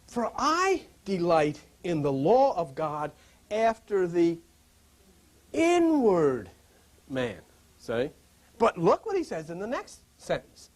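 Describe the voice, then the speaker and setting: A man's voice: high-pitched, huge pitch swings, slow pace. A male pastor giving an informal sermon, reading scripture and pressing listeners with rhetorical questions.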